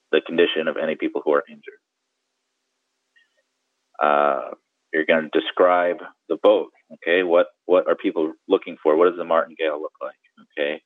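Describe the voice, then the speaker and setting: Very deep-voiced man explaining, slightly muffled. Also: dry recording. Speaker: guest boating radio expert. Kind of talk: conversational instructional explanation.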